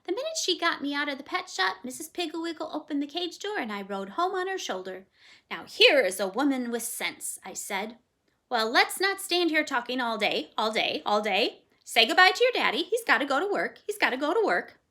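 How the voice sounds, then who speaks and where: A woman's voice: sits high, sing-song, a little fast, bright, forceful tone, voice pushed up, exaggerated voice. A woman voicing a bossy talking green parrot who scolds sharply and repeats the ends of her phrases two or three times. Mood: lecturing. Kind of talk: storybook read-aloud.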